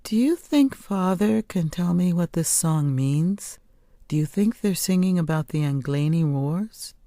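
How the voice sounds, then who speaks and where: Woman acting a rebellious, questioning daughter, pitched up. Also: lively intonation, a little slow, dark tone, no echo, clean studio sound. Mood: curious. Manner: challenging, inquisitive. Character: rebellious, questioning unmarried daughter, no longer young. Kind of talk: audiobook character voice.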